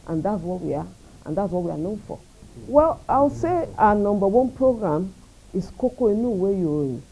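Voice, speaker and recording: A Nigerian woman speaking as a radio station executive in an interview, measured, earnest and proud. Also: medium pitch, sing-song, warm tone, slight background noise, rough recording. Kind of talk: interview answers.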